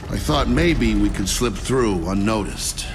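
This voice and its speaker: A man's voice: on the low side, animated, a little slow, heavy background noise. A man playing a wry, weary army lieutenant in a military video game, with a sardonic way of talking. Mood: grim recounting. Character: wry, frustrated young army lieutenant leading a squad. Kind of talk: video game acting and story narration.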